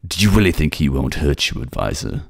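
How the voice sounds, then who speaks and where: A man playing a deep, obsessive, volatile king. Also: sing-song, gravelly, breathy edge, dry recording, studio quality, exaggerated voice. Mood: scornful, bitter. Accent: put-on accent. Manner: intense, dramatic, halting. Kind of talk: audio drama romance acting.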